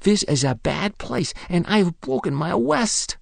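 A man's voice: high-pitched, expressive, unhurried, creaky, dry recording, studio quality, voice pushed up, exaggerated voice. A man reading aloud and voicing a small boy who pleads earnestly and tearfully. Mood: pleading, tearful. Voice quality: childish, pleading.

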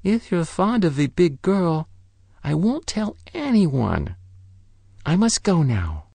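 A man reading aloud who voices an earnest small boy with soft, solemn questions. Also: fairly high pitch, big pitch swings, slow pace, vocal fry, dry recording, pitched above the performer's own voice, heavily acted.

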